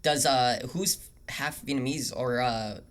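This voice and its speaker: A man hosting a podcast in a relaxed, casual chat, agreeing often with "yeah" and "mm-hmm". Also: mid-range voice, unhurried. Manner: laid-back, lots of agreeing "yeah" and "mm-hmm". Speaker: podcast host.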